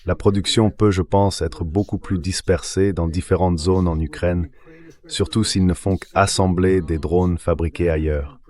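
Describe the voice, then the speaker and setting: A man's voice: low-pitched, steady tone, unhurried, a little mellow. A synthetic male voice giving political analysis as an interview guest, read as a translated dub. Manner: synthetic AI voice-over reading.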